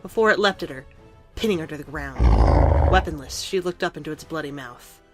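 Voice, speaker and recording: A woman's voice: deep voice, huge pitch swings, normal speed, vocal fry, music in the background. A woman reading scripted story narration for an audio drama.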